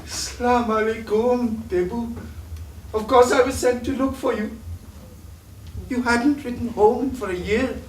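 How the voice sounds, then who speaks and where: A man's voice: very high voice, fairly even intonation, unhurried, long pauses. An actor playing an old, devout male family servant in a stage play, speaking with formal, solemn address. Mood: earnest. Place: theatre stage. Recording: echoey stage from far off, music.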